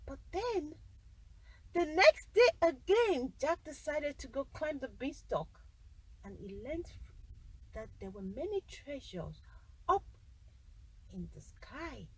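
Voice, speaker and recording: A woman narrating a children's bedtime story deliberately, pausing between short phrases, recorded a little away from the microphone. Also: high voice, very animated, far from the mic, poor audio.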